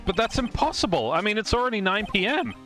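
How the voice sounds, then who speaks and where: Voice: mid-range voice, expressive, quick pace, a bit bright, lightly acted. A performer voicing a flustered, questioning young male defense lawyer with a hesitant manner in a live game fan dub. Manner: hesitant, incredulous. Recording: music behind the voice.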